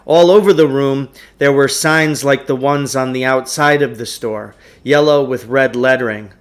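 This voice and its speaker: A man's voice: mid-range voice, fairly even intonation, moderate pace. A man reading a storybook aloud as a narrator, in the manner of a teacher.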